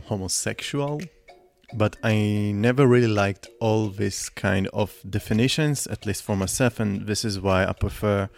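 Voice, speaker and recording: A young man hosts his own podcast, speaking in an earnest, reflective way about his life. His voice sits low with a gravelly edge. The sound is dry and close, with no room echo. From Israel. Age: 20s. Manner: earnest, reflective, personal storytelling. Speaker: podcast host. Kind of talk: personal podcast monologue.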